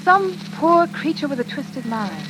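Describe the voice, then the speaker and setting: A sweet earnest well-bred girl, high voice, 40s radio play, lots of background hiss. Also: sing-song, a little slow. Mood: anxious, tender. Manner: earnest, emotive, refined. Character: earnest, devoted, well-bred young woman. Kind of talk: 1940s radio drama acting and narration. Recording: noisy, hissing old radio recording.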